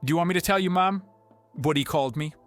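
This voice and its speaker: A man's voice: a little high, quick pace, soft background music, pitched above the performer's own voice, close to an everyday voice. A man voicing a bitter, awkward man with old grudges, indignant and sardonic, in an audiobook drama. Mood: indignant, hurt.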